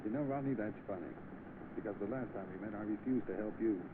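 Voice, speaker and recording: A man's voice: lowish voice, fast talker, narrow, tinny audio, lightly acted. A man with a British accent playing a polite, proud chauffeur in an old film, speaking deferentially and hesitantly. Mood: friendly, proud. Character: polite, proud young chauffeur fallen on hard times. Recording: thin noisy old film soundtrack.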